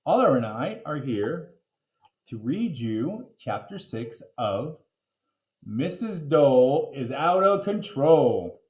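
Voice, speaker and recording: A man reading a children's story aloud in a playful, expressive way with theatrical emphasis. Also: medium pitch, takes it slowly, highs cut off. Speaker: reader of children's book. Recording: roomy, echoing room.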